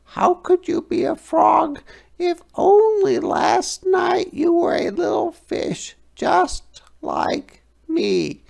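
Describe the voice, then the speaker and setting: A storybook reader voicing a young, excitable, eager minnow fish that exclaims and asks impatient questions. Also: very high-pitched, slow pace, gravelly, pitched above the performer's own voice, full character voice. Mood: indignant. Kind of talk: children's storybook character voice.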